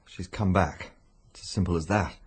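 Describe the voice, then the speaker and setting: Guarded British husband, low and very husky, animated pitch, hesitant radio drama manner. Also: male voice, normal speed. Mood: guarded, aggrieved. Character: uneasy, reserved husband wanting rid of his wife.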